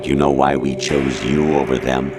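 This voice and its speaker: An actor playing a cold, condescending artificial intelligence that poses as a military commander, lecturing in a measured, menacing way for a video game. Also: male voice, very deep voice, little pitch movement, very noisy. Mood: clinical lecturing. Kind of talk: scripted video game voice acting. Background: prominent background music.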